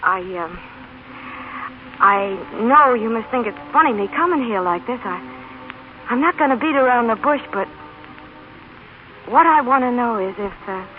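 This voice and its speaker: Actress doing awkward plain-talking mom, stammers a lot, self-sacrificing, old radio drama, really tinny sound. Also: female voice, fairly high pitch, animated, soft background music, close to an everyday voice. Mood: nervous, hesitant. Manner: halting, stammering, ungrammatical. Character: plain-spoken, awkward, self-sacrificing working-class mother, pleading earnestly. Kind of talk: vintage radio drama acting. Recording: tinny band-limited old radio recording.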